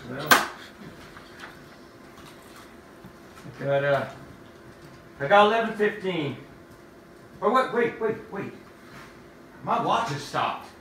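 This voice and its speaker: A man's voice: a little high, expressive, a little slow, pauses often, a bit bright. A man acting a brash, folksy, skeptical fellow in a stage version of a radio play, speaking in exclamatory, colloquial turns. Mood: startled, excited. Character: brash, folksy, skeptical young workman living with mama. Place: theatre stage, picked up from afar. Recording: echoey, distant stage recording with faint noise.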